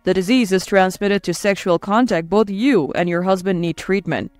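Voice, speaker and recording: A performer voicing a compassionate yet direct doctor in a narrated story, formal and measured. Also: mid-range voice, dry recording, natural voice. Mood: grave, direct. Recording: dry close voice over faint music.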